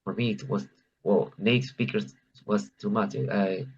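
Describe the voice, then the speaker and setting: Low-voiced Peruvian man, Spanish accent, lo-fi line. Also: fairly even intonation, measured pace, a little mellow, breathy edge. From Peru. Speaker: club member. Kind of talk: casual conversation practice.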